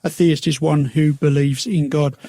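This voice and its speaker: Gravelly-voiced man musing on air. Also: fairly high pitch, fairly even intonation, normal speed, dark tone, no room sound, studio quality. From United Kingdom. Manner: reflective, musing, with many "uh" and "you know". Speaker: radio co-host. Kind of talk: faith radio discussion.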